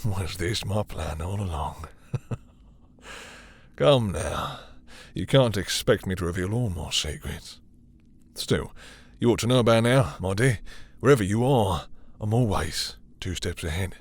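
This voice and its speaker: Man playing a deep, husky, seductive male vampire. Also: expressive, heavily acted. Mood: smug, taunting. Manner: taunting, drawling, with breathy sighs and lingering pauses. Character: dominant, possessive, seductive male vampire with a menacing sadistic streak. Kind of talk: intimate audio roleplay acting, spoken to the listener.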